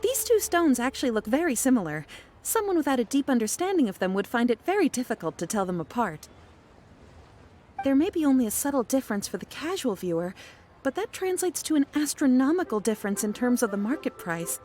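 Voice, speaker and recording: Composed gem connoisseur, fairly high, expressive pitch, precise and formal, faint music. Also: female voice, brisk. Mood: matter-of-fact. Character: knowledgeable, composed gem expert, modest and explanatory. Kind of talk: anime-style game voice acting.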